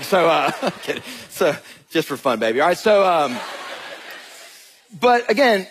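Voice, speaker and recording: A man from Georgia in his thirties with a Southern accent, a pastor preaching a sermon and telling animated, joking stories to the congregation. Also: fairly high pitch, husky. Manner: animated, jokey storytelling, addresses crowd as y'all. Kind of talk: sermon with storytelling.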